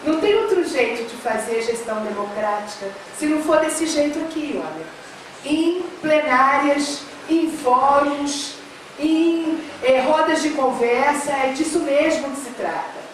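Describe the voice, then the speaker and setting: A woman's voice: sits high, lively intonation, measured pace, a little hiss or hum. Middle-aged Carioca woman on a panel, earnest and filler-heavy, set back from the mic in a roomy hall. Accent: Carioca Portuguese, mixed with São Paulo. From Rio de Janeiro, Brazil. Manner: earnest, many fillers, warms to emphatic. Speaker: education official speaking on a panel. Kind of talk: public forum speech. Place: large hall at a public forum. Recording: echoey hall, speaker far from mic.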